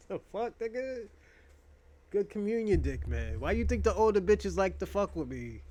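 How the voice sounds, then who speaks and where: A man's voice: sits high, big pitch swings, a little slow, warm tone. A young man hosting a casual monologue, rambling and joking in a crude, profane way. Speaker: podcast host.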